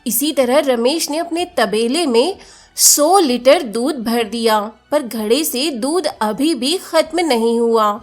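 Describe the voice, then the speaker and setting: Lady storyteller, highish voice, slow scripted narration, soft music behind.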